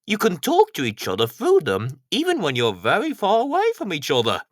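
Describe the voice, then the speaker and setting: Storybook know-it-all, a man acting it out: knowing, a touch grumpy, explanatory, lively pitch, dry close sound. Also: fairly quick, no room sound. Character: Slowcoach, knowing, slightly grumpy male storybook character.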